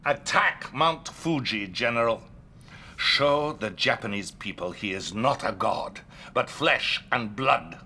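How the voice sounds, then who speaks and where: A man playing a grandiose, smoothly flattering Soviet premier with a Russian accent in a video game cutscene. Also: medium pitch, a little hiss or hum, full character voice. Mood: grandiose, menacing. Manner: slow, pompous oratory. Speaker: video game character actor. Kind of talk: dramatic game cutscene acting.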